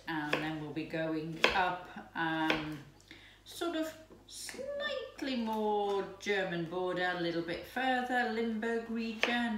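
Bubbly woman chatting in an echoey kitchen. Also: medium pitch. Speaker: lifestyle vlogger. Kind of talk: casual chat to camera. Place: home kitchen, indoors. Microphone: camera's built-in mic from a few steps away. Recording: echoey room, distant mic, background noise.

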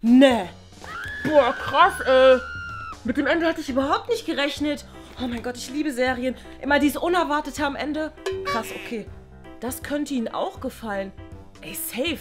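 A woman's voice: sits high, normal speed, close to an everyday voice. A young woman playing a series-addicted teenage slacker in a comedy sketch, slangy with exaggerated exclamations. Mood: amazed, thrilled. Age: young adult. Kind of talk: acted comedy sketch for online video. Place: living room, on a sofa. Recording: music behind the voice.

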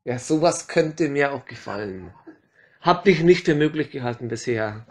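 A man's voice: mid-range voice, lively intonation, normal speed, lightly acted. A man voicing a nervous, fawning male drug dealer in an amateur crime radio play, hesitating with many filler sounds.

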